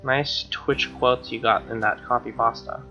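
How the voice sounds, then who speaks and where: A man's voice: mid-range voice, fairly even intonation, normal speed, pushed and bright. A young man streaming a game, reading a visual novel aloud with casual reactions, recorded on a lo-fi microphone. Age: young adult. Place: small room indoors. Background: game music.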